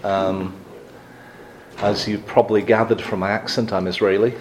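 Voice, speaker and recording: A man's voice: fairly low pitch, level tone, normal speed, heavy background noise. A middle-aged man from England giving a live lecture with dry, wry humor and frequent ums and restarts. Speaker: guest speaker on advocacy.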